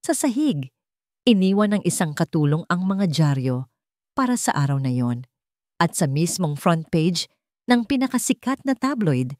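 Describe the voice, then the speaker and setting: A woman narrates a scripted dramatic story in a measured delivery, pausing between phrases for effect. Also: on the low side, a little mellow, no echo, studio quality.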